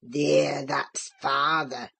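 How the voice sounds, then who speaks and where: A woman voicing a spiteful, gloating old male servant with a broad Yorkshire dialect and a croaking voice. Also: low-pitched, flat delivery, drawn-out words, fairly bright tone, voice pushed down, exaggerated voice. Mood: mocking. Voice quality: croaking, gravelly.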